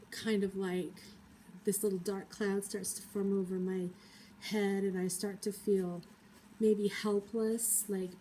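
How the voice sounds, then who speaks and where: A woman with a cold, talking through her feelings in a thoughtful, hesitant personal vlog monologue with many pauses. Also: medium pitch, dark tone, slight background noise, low-quality recording. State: has a cold. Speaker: vlogger.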